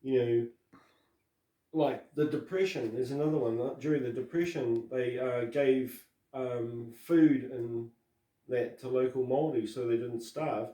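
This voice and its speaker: A man from New Zealand talking in a casual political chat as co-host, halting, with many fillers and restarts. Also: medium pitch, fairly even intonation, dark tone, reverberant, recorded from a distance, poor audio. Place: Christchurch.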